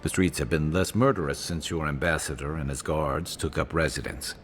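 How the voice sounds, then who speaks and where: Very deep-voiced wry companion. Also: male voice, normal speed, faint music behind. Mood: wry, relieved. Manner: wry, matter-of-fact. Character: dry-witted, loyal male companion and streetwise guide. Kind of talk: video game character dialogue.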